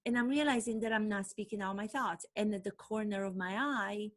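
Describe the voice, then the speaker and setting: A woman from Romania whose first language is Romanian, an actor telling a personal story to camera in an animated way, acting out her own nervous thoughts. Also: mid-range voice, brisk.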